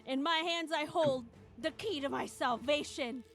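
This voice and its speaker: A woman's voice: high voice, unhurried, fairly bright tone, faint music behind. A woman streamer reading a visual novel's first-person narration dramatically, playfully breaking off for casual asides.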